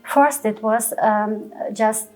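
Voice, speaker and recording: A woman's voice: a little high, steady tone, a little mellow, soft background music, rough recording. Earnest Ukrainian-accented woman. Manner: measured, earnest, pausing to find words. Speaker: refugee volunteer and choir founder. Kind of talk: documentary interview.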